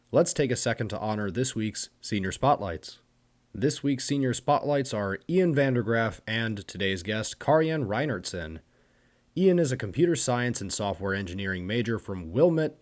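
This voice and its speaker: A college-age man reading a scripted podcast narration as host. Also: on the low side. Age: college-age young adult. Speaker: podcast host, swim team senior captain.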